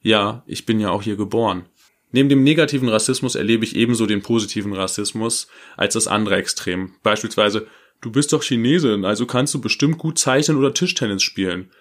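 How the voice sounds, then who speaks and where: A man's voice: fairly low pitch, a little fast. A man co-hosting a casual political podcast, speaking bluntly and informally, appealing directly to listeners and reading submitted stories aloud. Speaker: podcast co-host.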